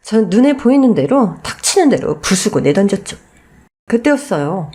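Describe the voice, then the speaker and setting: A woman's voice: mid-range voice, big pitch swings, moderate pace, warm tone. A woman reading a scripted first-person family story as a dramatized radio narration.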